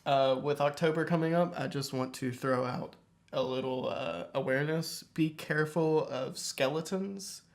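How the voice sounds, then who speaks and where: A man co-hosting a casual podcast, joking in a deadpan way. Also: a little high, moderate pace. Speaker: podcast co-host.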